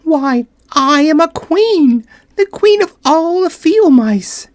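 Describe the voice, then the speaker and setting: A man voicing a tiny, proud female mouse queen in a squeaky falsetto for a storybook reading. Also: expressive, takes it slowly, dry recording, studio quality, full character voice. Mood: squeaky, regal. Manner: high, airy falsetto.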